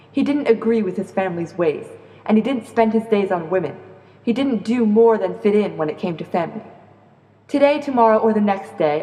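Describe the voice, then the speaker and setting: A woman performing spoken-word slam poetry in a rhythmic, earnest, deliberate way. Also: mid-range voice, mellow. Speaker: slam poet. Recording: echoey reverberant room.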